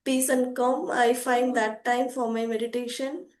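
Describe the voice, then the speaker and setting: A woman with a high voice talks calmly and earnestly as a guest in a casual conversation. She laughs often between thoughts. Her voice rings in a roomy, echoing space on a video call. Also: measured pace. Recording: echoey room.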